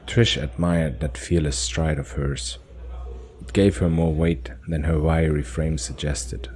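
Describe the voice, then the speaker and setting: A man's voice: extremely low pitch, steady tone, unhurried, a little mellow. A man narrating a fantasy audio drama in a scripted, dramatic storytelling style.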